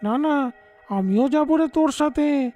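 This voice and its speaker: A simple-minded, inquisitive village man voiced by a woman in a cartoon tale. The voice is high and mellow with a drawl, over faint music. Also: a little slow. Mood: suspicious.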